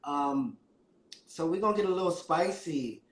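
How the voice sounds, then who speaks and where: A man's voice: fairly high pitch, lively intonation, moderate pace, echoey room, low-quality recording. A man hosting a casual interview, effusive and enthusiastic with his guest, giggling often. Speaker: host.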